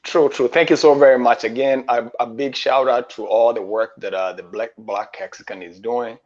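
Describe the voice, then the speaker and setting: A man in his fifties speaks at a middling pitch, slow and deliberate, with frequent pauses. His voice comes through a dull, lo-fi call line. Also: steady tone, a little mellow. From Kenya. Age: 50s. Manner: measured, halting, with frequent "uh" pauses. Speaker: guest chef. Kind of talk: storytelling in a stream interview. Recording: dull lo-fi stream audio.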